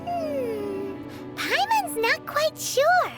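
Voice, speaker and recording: Tiny cheeky game fairy, squeaky child register, very high, bouncy and animated delivery. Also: female voice, very slow, over loud music, heavily acted. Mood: puzzled. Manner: refers to self in third person, exclaiming. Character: small childlike floating fairy sidekick, excitable and cheeky. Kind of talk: animated video game dub dialogue.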